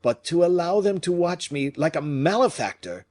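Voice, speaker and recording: A man reading an audiobook, voicing a proud, agitated French nobleman who gives sharp commands. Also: on the high side, lively intonation, moderate pace, voice pushed up, natural voice. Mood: imperious. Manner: imperious, exclamatory.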